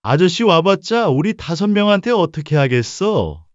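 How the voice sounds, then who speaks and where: A man's voice: sits high, big pitch swings, normal speed, no room sound, muffled recording. A man playing a menacing, mocking street thug in a narrated story, with a swaggering, sneering manner.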